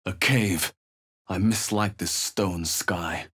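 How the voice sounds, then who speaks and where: A man's voice: low-pitched, takes it slowly, a bit bright, breathy edge, heavily acted. A man playing a haughty, world-weary fantasy game adventurer, speaking in a formal, disdainful, theatrical way. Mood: grim. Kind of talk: video game voice acting.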